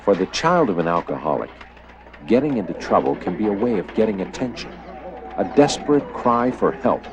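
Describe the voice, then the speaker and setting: A man giving scripted, grave and measured narration for an educational film, explaining in a documentary style. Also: fairly low pitch, big pitch swings, muffled recording. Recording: old VHS educational film soundtrack with music.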